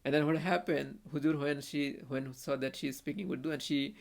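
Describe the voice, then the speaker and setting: A young man from Bangladesh with a Bangladeshi accent, a native Bengali speaker, telling a story as a podcast guest in a halting way, full of restarts and fillers. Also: a little high, fairly even intonation, gravelly. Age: young adult. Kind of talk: casual conversational storytelling.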